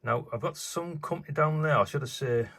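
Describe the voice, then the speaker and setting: A man's voice: fairly high pitch, brisk, gravelly, fairly bright tone, breathy edge. A man hosting his own channel, talking casually and offhand while he works, with lots of fillers, recorded on a phone at an indoor workbench. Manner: rambling, offhand, many fillers. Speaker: channel host. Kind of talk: casual hands-on commentary.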